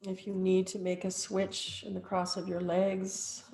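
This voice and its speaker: A woman yoga teacher calmly guiding a breathing exercise, with long pauses between cues. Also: mid-range voice, gravelly. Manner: slow, calm and soothing, with long pauses. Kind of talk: guided breathing instruction. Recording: echoey room with faint ambience.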